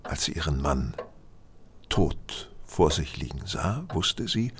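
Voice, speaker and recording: An actor in a German audio drama playing a shrewd, cool gentleman detective who speaks in a measured, precise, superior way. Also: extremely low pitch, lively intonation, slight background noise, full character voice. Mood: grave, subdued.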